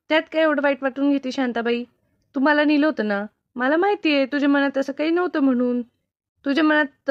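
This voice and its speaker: A woman voicing a gentle, sisterly village friend in a cartoon, soothing and a little hesitant, with a rural Maharashtrian Marathi accent. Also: sits high, dry recording, lightly acted. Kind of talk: cartoon comedy dialogue. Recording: dull lo-fi, dry close sound.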